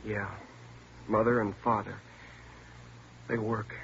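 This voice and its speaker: An actor playing a scared twenty-year-old car thief in a 1950s radio drama, sullen at first and then pleading. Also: male voice, on the low side, little pitch movement, takes it slowly, creaky, fairly bright tone, heavily acted. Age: young adult. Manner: sullen and curt, breaking into frantic pleading. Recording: dull old radio broadcast with faint hiss.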